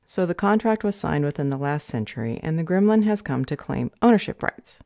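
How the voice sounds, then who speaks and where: A woman's voice: low-pitched, lively intonation, brisk, vocal fry, darkish tone, no echo, studio quality. A woman playing a jaded, condescending government customer service clerk in an audio roleplay, bureaucratic and unhelpful. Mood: bored, dismissive. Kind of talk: audio roleplay drama acting.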